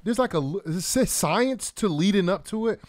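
Male sports co-host, thirties, ex-player style analysis, fairly high pitch, repeats phrases conversationally, dry close-miked studio. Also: animated, moderate pace, no room sound. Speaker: former pro football player, co-host. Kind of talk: casual sports talk, analysis. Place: small podcast studio. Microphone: close broadcast mic on boom arm.

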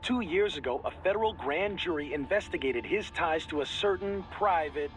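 Higher-voiced, sardonic American mission support man. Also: expressive, a bit bright, rough recording. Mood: informed, sardonic. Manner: brisk briefing, sardonic, exasperated. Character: exasperated, well-informed male military support operative. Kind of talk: video game cutscene acting. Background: game music.

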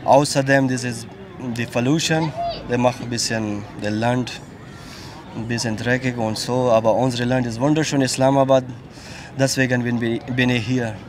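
A man in his thirties from Pakistan, a native Pashto speaker, answering a street interview in halting English with many fillers. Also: mid-range voice, steady tone, a crowd in the background. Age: 30s. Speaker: passer-by interviewee. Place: outdoor park path. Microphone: handheld mic held close. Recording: outdoor street noise.

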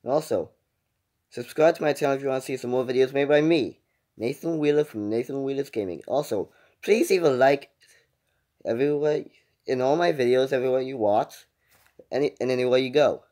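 A man's voice: mid-range voice, brisk, warm tone. A young man hosting a casual reaction video, recorded on a phone in a room at home. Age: teenager or young adult.

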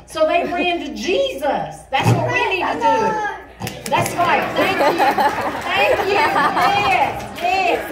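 High-voiced woman storyteller in an echoey church. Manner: simple, repetitive coaching with call-and-response. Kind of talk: interactive Bible storytelling to children. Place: church sanctuary stage, indoors. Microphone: recorded by a distant audience camera. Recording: distant, echoey church hall, noisy room. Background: children and room noise.

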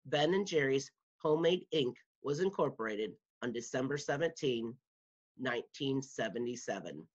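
A woman's voice: very deep voice, husky. A woman reading a children's nonfiction book aloud in a careful manner, as a school media specialist would. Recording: dull, muffled lo-fi home recording.

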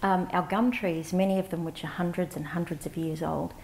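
Middle-aged woman from Central Australia, soft and low, reflective storyteller, stage mic with faint room hiss. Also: fairly even intonation. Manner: warm, reflective, halting with fillers and restarts. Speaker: panelist, CEO of an advocacy organisation. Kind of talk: storytelling conference talk. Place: conference stage before a large audience, indoors.

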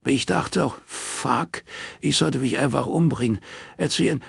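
A man's voice: mid-range voice, takes it slowly, gravelly, breathy edge, no room sound, studio quality, voice pushed up, full character voice. A man voicing another man who is sobbing and begging in panic. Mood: desperate pleading. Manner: pleading, whimpering.

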